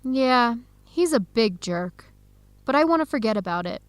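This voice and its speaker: A woman's voice: on the high side, expressive, moderate pace, no echo, natural voice. An actress playing an earnest young woman student in a radio drama. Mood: resigned, determined.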